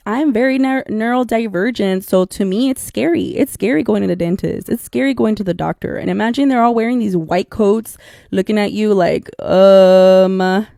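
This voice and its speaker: A woman's voice: mid-range voice, moderate pace, vocal fry, warm tone, no room sound, clean studio sound. A woman whose first language was Spanish, co-hosting a podcast in a candid, chatty way and calling listeners "y'all". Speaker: podcast co-host. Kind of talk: casual solo podcast talk.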